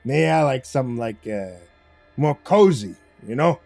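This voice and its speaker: A man's voice: mid-range voice, animated, normal speed, creaky, a little mellow, no echo, soft background music. A man voicing a genial, cocky mob boss with chatty, slangy, expansive talk in a narrated story.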